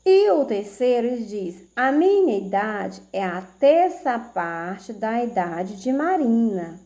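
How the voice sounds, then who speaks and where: Slow, patient woman teacher. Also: fairly high pitch, very animated, dark tone. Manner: slow, patient, checks in with "tá certo?". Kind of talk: video math lesson for children.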